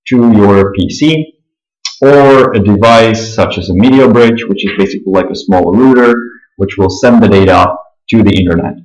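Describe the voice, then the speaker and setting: A man with a Czech accent walking through software setup, pausing often with fillers and clearing his throat. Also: on the low side, echoey room, poor audio. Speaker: software tutorial presenter. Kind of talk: screen-recorded software walkthrough.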